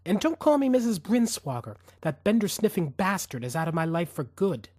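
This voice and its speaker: Man voicing a weary, irritated woman, raised pitch. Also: sing-song, brisk, darkish tone, no echo, clean studio sound. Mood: cold, staccato anger. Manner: curt, exasperated, blunt. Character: weary, irritated woman guarding her elderly father. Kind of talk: audiobook character dialogue.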